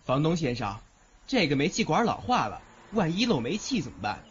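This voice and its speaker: A man's voice: fairly high pitch, sing-song, fairly quick, a little hiss or hum. A man playing a nitpicking young student tenant who bargains hard, in an audio drama. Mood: fussy, insistent. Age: young adult. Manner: nitpicking, insistent. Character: fussy, hard-bargaining young male student renting a flat. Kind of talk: Chinese audio drama acting. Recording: muffled recording with music behind.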